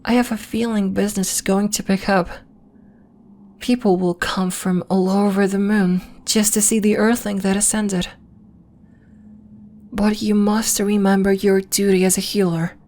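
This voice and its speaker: A woman playing a gentle, earnest alien healer from the moon in an ASMR roleplay, speaking in a soft, measured, formal and reassuring way. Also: medium pitch, little pitch movement, close to an everyday voice. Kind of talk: ASMR roleplay, scripted character acting.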